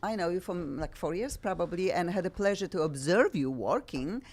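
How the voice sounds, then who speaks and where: This is a middle-aged woman from Poland, speaking with a Polish accent as she hosts a talk show. Her voice sits low but leaps around with lots of melody. She talks in an effusive, bubbly way. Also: moderate pace. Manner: effusive, bubbly, animated, frequent laughs. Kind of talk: excitable talk show chat.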